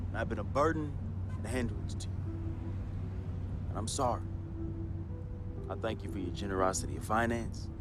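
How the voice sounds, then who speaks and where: A man's voice: mid-range voice, lightly acted. An actor playing a bitter, menacing young street-wise man from a basketball star's circle, with a theatrical, taunting New delivery in a dramatic game cutscene. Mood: mock contrite. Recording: music under the voice. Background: music.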